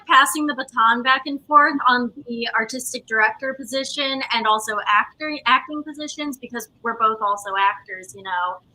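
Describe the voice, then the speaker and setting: A woman's voice: fairly high pitch, bright, forceful tone, low-quality recording. A woman in her twenties speaking casually and conversationally as an interview guest. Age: 20s. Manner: informal, conversational. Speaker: theater company co-founder, interview guest.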